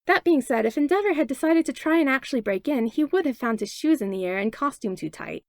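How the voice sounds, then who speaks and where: A woman narrating a fanfiction audiobook in an expressive read-aloud manner on a close mic. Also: high-pitched, quick pace, no echo. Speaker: fanfiction podfic reader. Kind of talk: storybook narration.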